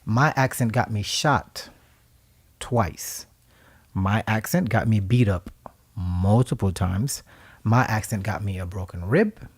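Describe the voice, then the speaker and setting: A Haitian man co-hosting a radio talk show, speaking reflectively and with emphasis, sometimes becoming animated and laughing as he tells stories. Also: lowish voice, takes it slowly, slightly rough. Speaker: co-host.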